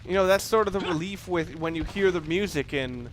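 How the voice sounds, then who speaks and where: A man's voice: sits high, fairly quick, rough recording. A man giving casual, analytical video game commentary, rambling and correcting himself as he goes. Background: video game sounds.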